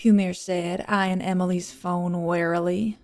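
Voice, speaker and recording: A woman's voice: lowish voice, little pitch movement, a little slow, a little mellow. A woman reading scripted audiobook narration as the storyteller.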